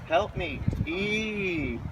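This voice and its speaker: A man's voice: sits high, expressive, drawn-out words, recorded from a distance, voice pushed up, exaggerated voice. A man voicing a frantic, terrified old grandmother who pleads and shrieks in exaggerated alarm. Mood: panicked. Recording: noisy outdoor recording with traffic.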